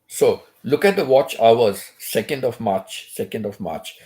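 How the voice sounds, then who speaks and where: An older man hosting a casual chat from his bed, keeping his voice low and nearly whispering, so it comes out hoarse. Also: lively intonation, measured pace. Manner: speaks softly, almost whispering; hums tunelessly between thoughts. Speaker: channel host. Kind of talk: casual rambling livestream chat. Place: bedroom, in bed.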